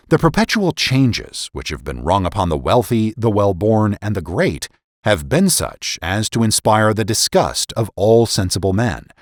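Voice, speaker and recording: A man narrating a formal historical essay as a dramatic reading, with deliberate, oratorical phrasing and emphatic delivery. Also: lowish voice, dry recording, studio quality. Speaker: podcast host and narrator.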